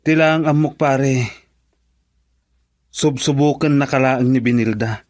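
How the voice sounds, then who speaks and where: A man's voice: medium pitch, takes it slowly, darkish tone, no echo, close to an everyday voice. Man acting as insistent friend, Ilocano accent. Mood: urging, advising. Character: male friend urgently pushing his buddy to commit. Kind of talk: Ilocano radio drama dialogue.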